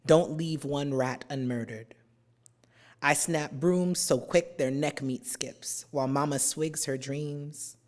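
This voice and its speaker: An American woman from New Jersey giving a poetry reading, chatting through her introductions with many fillers and reading the verse slowly and with care. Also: low voice, a little mellow. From New Jersey, USA. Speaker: poet. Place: university symposium venue.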